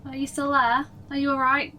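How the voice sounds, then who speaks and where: A woman's voice: sits high, little pitch movement, brisk, bright, forceful tone, recorded from a distance, a little hiss or hum, pitched above the performer's own voice. A woman playing a concerned police dispatcher in a horror story, speaking firmly but lightly to reassure a child caller. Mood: worried.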